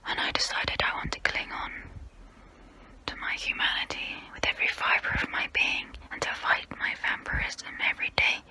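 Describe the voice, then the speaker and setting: A woman playing a centuries-old female vampire, guilt-ridden and gentle yet predatory, in an intimate, breathy, halting ASMR role-play whisper. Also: natural voice, rough recording. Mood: remorseful. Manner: slow, halting, intimate, soothing. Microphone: close-up microphone.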